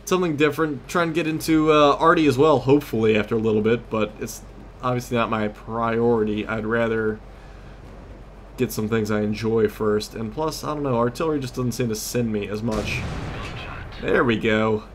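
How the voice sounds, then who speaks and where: Man, casual gaming host, mid-pitched, rambling and easily sidetracked, faint game noise in the background. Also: steady tone, moderate pace. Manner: rambling, loses his train of thought. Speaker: host of a gaming video series. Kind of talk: casual gameplay commentary.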